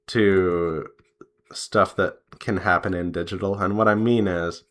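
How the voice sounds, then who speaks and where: A man chatting casually as he draws, rambling in an unhurried way and pausing mid-sentence. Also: low voice, fairly even intonation, a bit bright. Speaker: artist and devlog host. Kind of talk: casual chat while drawing.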